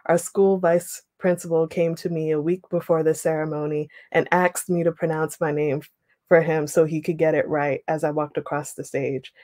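A young woman leading an online writing workshop, reading a passage aloud and then explaining it with relaxed pauses over a video call. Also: low-pitched, no room sound. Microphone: webcam on a video call.

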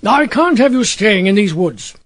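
A man voicing a fussy, self-important English gentleman, indignant, emphatic and easily flustered, in an audiobook. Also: sits high, big pitch swings, normal speed, no echo, studio quality, pitched above the performer's own voice. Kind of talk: audiobook character voice.

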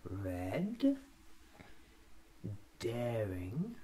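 Man acting as a quirky alien time traveller, ASMR roleplay, extremely slow deliberate speech, swooping intonation, soft musing to himself. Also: mid-range voice, huge pitch swings, slight background noise, full character voice. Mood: musing, pleased. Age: 30s. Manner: slow, deliberate, hesitant self-musing in short phrases.

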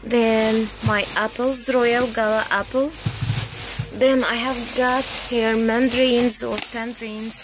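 A woman vlogger chatting casually and unscripted, haltingly searching for words as she shows things at home. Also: on the high side, little pitch movement. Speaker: vlogger showing a grocery haul. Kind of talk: unscripted casual show-and-tell chat. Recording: noisy handheld home recording with room ambience.

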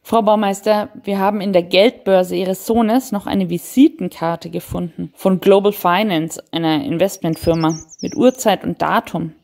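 Detective, played by a woman, audio crime drama: composed, wry, businesslike, medium-pitched female voice. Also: fairly even intonation, moderate pace, vocal fry, hardly any pauses, a little mellow, natural voice. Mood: polite, probing. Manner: matter-of-fact, polite, probing.

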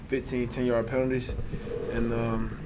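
A young man in his twenties, a college quarterback answering post-game press questions in a halting, soft-spoken way with many fillers. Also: mid-range voice, flat delivery, background noise. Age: 20s. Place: press conference room.

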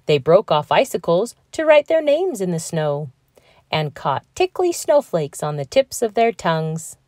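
A woman reading a children's picture book aloud with slow, expressive storytelling. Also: on the low side, dry recording, clean studio sound. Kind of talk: read-aloud storybook narration.

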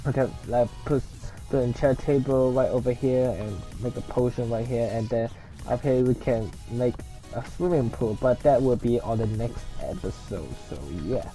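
A young man from Hong Kong speaks English with a Hong Kong accent, his first language being Cantonese. He sounds tired and rambles, restarting his sentences often. His voice is dark and mellow, with soft music playing behind him. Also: medium pitch, low-quality recording. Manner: rambling, halting, with frequent restarts. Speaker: let's play gamer. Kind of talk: casual gameplay commentary.

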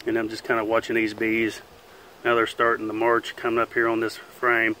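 A man talks in a plain, unhurried, folksy way as he films outdoors in a chicken pen, his voice bright and forward. A faint buzz of bees hangs in the open air behind him. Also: lowish voice, completely flat delivery, a little hiss or hum, poor audio. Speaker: beekeeper and channel host. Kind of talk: casual narration while filming. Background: faint buzzing of bees outdoors.

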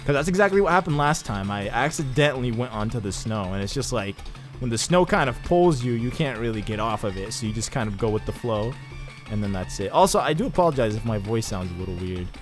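A young man giving casual, excitable let's-play commentary over his gameplay, chatting with jokey asides. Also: mid-range voice, fast talker, soft background music. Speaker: gaming YouTuber.

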